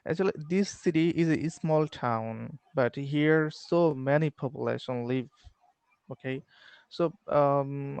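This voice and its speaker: Bangladeshi man, English learner on a video call, gravelly yet smooth, dry sound, hesitant and full of fillers. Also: medium pitch, no echo. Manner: halting, many fillers and restarts. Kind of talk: online English lesson conversation.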